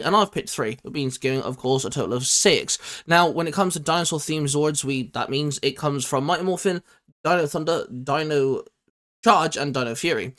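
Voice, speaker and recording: A man's voice: fairly high pitch, a bit bright. A young man hosting a channel, chatting casually and with enthusiasm like a keen fan. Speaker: channel host. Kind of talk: casual chat and video introduction.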